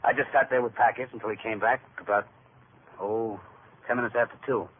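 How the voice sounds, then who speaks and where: A man's voice: fairly low pitch, steady tone, a little fast, close to an everyday voice. An actor playing an uneasy, respectful policeman reporting hesitantly to superiors in an old-time radio drama. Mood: careful. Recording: tinny, noisy vintage radio recording.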